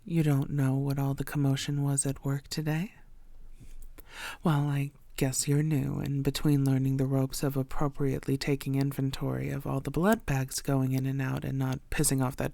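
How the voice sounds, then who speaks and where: A woman playing a coy, secretive vampire who poses as a tired blood bank worker, speaking softly and playfully in an intimate audio roleplay. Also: a bass voice, creaky, no echo, full character voice. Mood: conspiratorial. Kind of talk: intimate scripted audio roleplay addressed to the listener.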